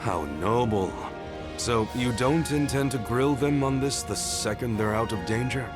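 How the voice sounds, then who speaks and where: An actor playing a kindly, dry, considerate mercenary in a video game, with a measured delivery. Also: male voice, mid-range voice, over music, close to an everyday voice. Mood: wry. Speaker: mercenary character.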